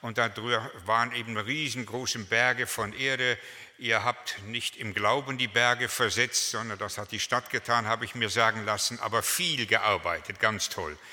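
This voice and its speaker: Older male pastor, 70s, very bright and forceful voice, chatty anecdotal preaching, long hall reverb. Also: lowish voice, fairly even intonation, moderate pace. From Germany. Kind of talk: sermon.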